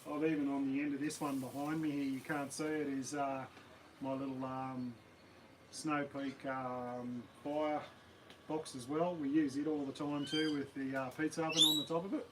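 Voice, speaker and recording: A man with an Australian accent and a slightly croaky voice, chatting casually and rambling on a live stream from his backyard. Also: medium pitch, moderate pace, slight background noise, poor audio. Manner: rambling, relaxed, many ums and uhs. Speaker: camping channel host. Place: backyard outdoors.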